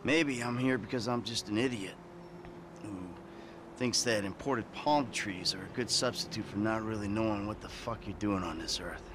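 An actor playing a jaded, hot-tempered middle-aged American criminal in a video game, with a cynical, profane way of talking. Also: male voice, fairly low pitch, normal speed, background noise, close to an everyday voice. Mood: cynical, weary. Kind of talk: video game cutscene acting.

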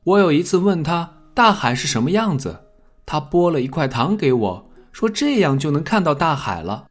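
A man voicing a small, guarded and earnest orphan boy for an audiobook, in a soft but prickly manner. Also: a little high, sing-song, normal speed, soft background music. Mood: wistful. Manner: soft, murmuring, turning sharp when challenged. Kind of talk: audiobook character acting.

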